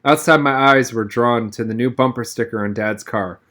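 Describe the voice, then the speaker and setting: A man reading a novel aloud as an expressive narrator, with wry first-person storytelling. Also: mid-range voice, normal speed. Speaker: reader, narrator. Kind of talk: expressive audiobook-style read-aloud of a novel.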